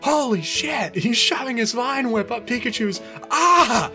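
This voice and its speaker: Man playing a hot-tempered cartoon boy, raised pitch, bright forceful tone, indignant shouting, faint music. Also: very animated, normal speed. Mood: shouting, upset. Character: exasperated, hot-tempered boy monster trainer.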